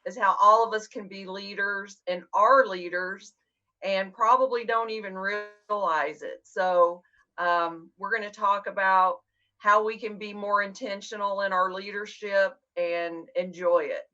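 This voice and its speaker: A woman on a video call previewing an upcoming talk, friendly and encouraging, pausing to think. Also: mid-range voice, fairly even intonation, sharp tone, lo-fi sound. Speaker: co-presenter announcing next session.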